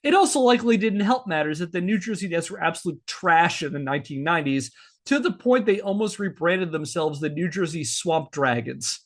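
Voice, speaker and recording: A man's voice: a little high, expressive, a little fast. A man hosting a podcast, explaining a story in a conversational but partly scripted way, with asides. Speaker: podcast host.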